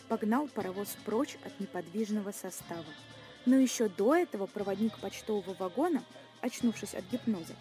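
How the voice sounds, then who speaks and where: A woman's voice: mid-range voice, warm tone. A young woman reading scripted narration for an audio play of a short story. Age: young adult.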